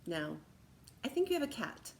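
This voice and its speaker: A woman's voice: lowish voice, big pitch swings, moderate pace, vocal fry, a little mellow. A woman voicing a patient, good-humoured, knowing second-grade teacher in a storybook, with an encouraging, instructive manner. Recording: roomy echo, background noise.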